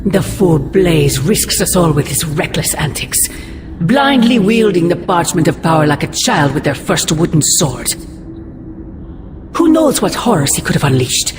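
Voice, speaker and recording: A woman's voice: on the low side, very animated, unhurried. A woman voicing an ancient, imperious sorceress mother in a video game, speaking in grandiose, formal, ominous phrases. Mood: stern anger. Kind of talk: dramatic video game cutscene acting. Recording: loud music underneath.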